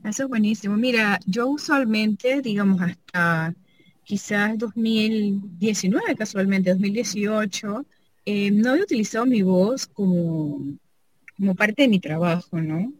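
A woman, a sound artist and double bass player, talking casually and reflectively about her work, thinking aloud and often adding tag questions. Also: a little slow, dry recording.

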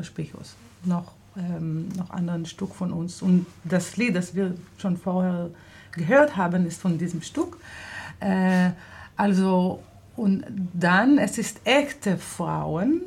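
Israeli-accented woman, slow and halting. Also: lowish voice, vocal fry, darkish tone. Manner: halting, searching for German words, laughs often. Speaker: actress and theater director. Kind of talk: radio studio interview. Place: radio studio.

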